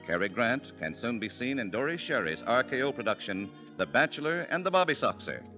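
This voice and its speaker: A man reading the formal closing credits of a 1940s radio show in a measured manner. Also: medium pitch, very animated, bright, forceful tone. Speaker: announcer. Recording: dull old radio broadcast with music.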